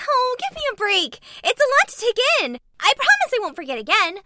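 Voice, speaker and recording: A giddy teenage superhero girl, voiced by a woman reading aloud, bubbles over with exclamations. Her voice is very high and swoops wildly, bright and sharp. The sound is dry. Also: huge pitch swings, fairly quick, dry recording, exaggerated voice. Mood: sassy.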